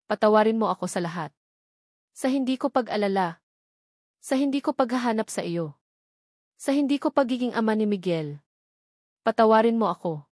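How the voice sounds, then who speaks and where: A woman's voice: fairly high pitch, expressive, normal speed, long pauses, a little mellow, dry recording, studio quality. A woman voicing an earnest, protective male doctor in a dramatic story, with an intense, pleading delivery. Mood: tender, remorseful.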